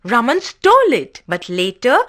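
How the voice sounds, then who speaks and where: A woman presenting a children's story show, explaining morals and new words in a cheerful, deliberate, teacherly way. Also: a little high, huge pitch swings, fairly bright tone.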